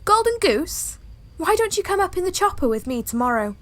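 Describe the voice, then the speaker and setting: A woman's voice: extremely high pitch, sing-song, a little fast. A woman playing a plucky, earnest young helicopter pilot in an audio pantomime, with music behind her. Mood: grateful, eager.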